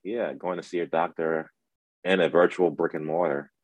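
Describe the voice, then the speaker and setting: A man who co-hosts a medical podcast. He talks in a relaxed way, teases his co-host, and hesitates with fillers. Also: deep voice, normal speed, no echo, highs cut off. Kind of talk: casual interview chat.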